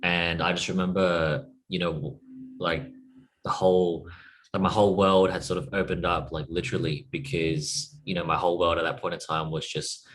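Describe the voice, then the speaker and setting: Deep-voiced aussie-raised guy in his 30s, chatty, lots of likes and you knows. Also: moderate pace. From Australia. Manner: relaxed, uses filler words. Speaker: guest, business coach. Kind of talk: casual interview answers.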